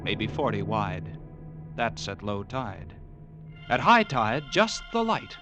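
A grim lighthouse keeper recounts a nightmare in old radio drama fashion. He speaks slowly and broodingly, painting each detail vividly, with music clearly playing behind him. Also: medium pitch. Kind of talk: 1940s radio drama narration. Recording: vintage radio broadcast with music underneath.

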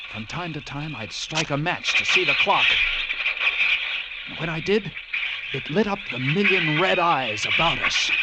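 An actor playing a haunted lighthouse keeper, narrating an old radio drama with intense, halting delivery and suspenseful pauses. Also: male voice, medium pitch, heavy background noise. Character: haunted male lighthouse keeper recounting a grim ordeal.

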